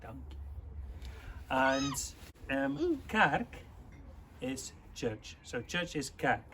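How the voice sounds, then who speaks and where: A man whose first language is Afrikaans, from South Africa, chatting casually to a youth club and teaching words slowly. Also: medium pitch, wildly swooping pitch, fairly bright tone, slight background noise. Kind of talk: informal online talk to children.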